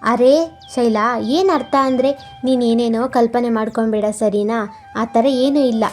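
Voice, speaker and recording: Woman as a touchy young husband, high-pitched. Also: fairly even intonation, moderate pace, dark tone, faint music behind, natural voice. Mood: placating. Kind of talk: animated moral-story cartoon voicing.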